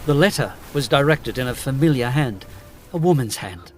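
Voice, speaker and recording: An actor playing an earnest, formal gentleman barrister, narrating and speaking in a gothic audio drama. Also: mid-range voice, expressive, normal speed, faint music behind, natural voice. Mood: grave narration. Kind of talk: first-person narration and audio drama dialogue.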